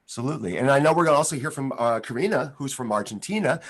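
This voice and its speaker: Man, host, live school show, video call, chatty, friendly, middle pitch. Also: lively intonation, very fast. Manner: friendly, chatty, many fillers.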